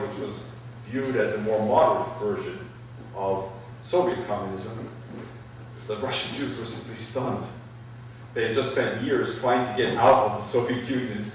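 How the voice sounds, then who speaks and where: A middle-aged man answering audience questions at a public talk, thoughtful and halting, with many restarts. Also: fairly low pitch. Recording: echoey hall, far from the mic, faint noise.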